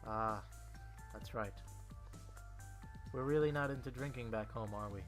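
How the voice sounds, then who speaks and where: A man playing a composed, faintly smug superior who teases his trainee in a visual novel dub, speaking in a measured way. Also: mid-range voice, lightly acted. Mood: nonchalant. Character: unhurried, smug male superior, teasing mentor. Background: music.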